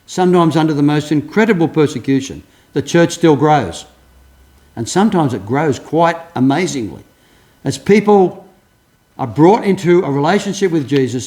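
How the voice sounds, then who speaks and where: A man's voice: a little high, a little mellow. An older pastor delivers his sermon in a slightly raised voice. He tells stories earnestly and often restarts his sentences along the way. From Australia. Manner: earnest storytelling, many restarts.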